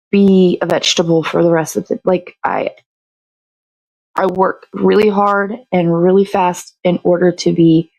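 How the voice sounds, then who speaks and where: A woman's voice: mid-range voice, a little mellow, no room sound, low-quality recording. A woman hosting a morning show in casual chat, talking in a blunt, sweary, rambling way.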